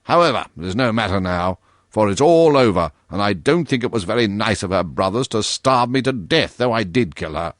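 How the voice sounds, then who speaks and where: A man's voice: lowish voice, expressive, brisk, dry recording, natural voice. A man doing a haughty old ghost lord who whines and sulks in a pompous, swooping voice. The reading is dry and close to the mic. He sounds grandly aggrieved, always feeling wronged. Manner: petulant, haughty, self-pitying. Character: petulant old aristocratic male ghost, pompous. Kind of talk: storybook reading.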